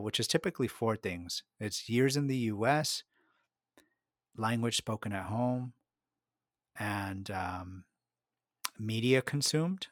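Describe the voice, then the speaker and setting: A man's voice: fairly low pitch, a bit bright, no room sound, studio quality. A middle-aged man, a market researcher and podcast guest, giving a thoughtful conversational answer full of fillers and restarts. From United States.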